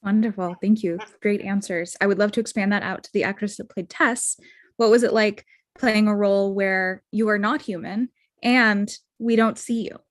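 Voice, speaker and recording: Female moderator, mid-pitched and dry, casual and encouraging, feeling out her questions on a video call. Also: steady tone, moderate pace, no room sound. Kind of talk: casual post-show Q&A.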